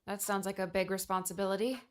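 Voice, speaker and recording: A woman's voice: medium pitch, steady tone, quick pace, lightly acted. An actress playing a timid, sad and curious young woman, speaking hesitantly in an audiobook drama. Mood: curious, sympathetic.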